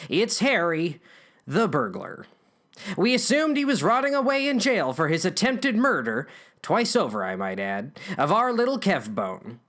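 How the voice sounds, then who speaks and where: A man's voice: sits high, a bit bright. A man reading a scripted comic story in a theatrical way, with wry asides. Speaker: writer and narrator of a parody story.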